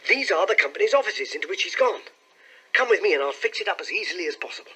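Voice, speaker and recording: A man voicing an excitable, cheery young male clerk from London, eagerly telling his story in an audiobook. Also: on the high side, a little fast, husky, pitched above the performer's own voice, full character voice, poor audio. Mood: cheery, excited.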